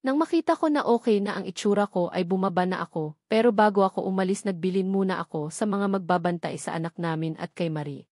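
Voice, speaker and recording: A woman's voice: mid-range voice, fast talker, a little mellow, no room sound, clean studio sound. A woman narrating a first-person romance story from a script.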